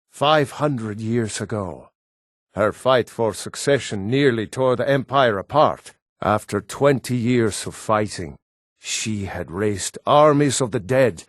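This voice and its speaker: A man voicing an earnest Nord townsman in a fantasy video game, speaking scripted lines in a measured, formal way. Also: medium pitch, dry recording, studio quality, close to an everyday voice. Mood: matter-of-fact.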